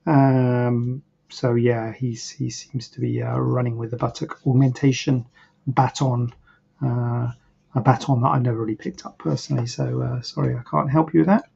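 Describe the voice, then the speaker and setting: A plastic surgeon hosting a live online Q&A, answering questions in a rambling, hesitant way, and a bit croaky. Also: male voice, mid-range voice, little pitch movement, moderate pace, darkish tone. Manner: rambling, hesitant, many fillers and restarts. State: a bit croaky, clearing throat. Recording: dull, lo-fi livestream audio.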